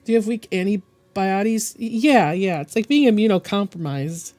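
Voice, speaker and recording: A hoarse young woman talks loosely on her art stream, tripping over her words and clearing her throat as she goes. Her voice sits at an ordinary pitch, and soft music plays quietly behind her. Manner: rambling, halting, with frequent restarts and throat clearing. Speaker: streaming artist. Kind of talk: casual chat while drawing on stream.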